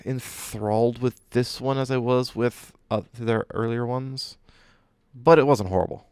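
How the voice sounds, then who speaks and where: A man's voice: mid-range voice, a little slow, darkish tone, clean tone, dry recording. A man co-hosting a podcast, chatting and commenting casually. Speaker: podcast co-host.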